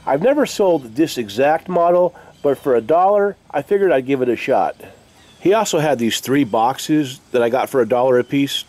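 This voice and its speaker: A man's voice: mid-range voice, expressive, moderate pace, a little mellow. An American man in his forties talking casually to camera in a conversational, matter-of-fact way, like a vlogger. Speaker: reseller vlogger showing garage sale finds. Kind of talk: casual vlog talk to camera. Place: open garage doorway, outdoors. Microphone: camera at arm's length.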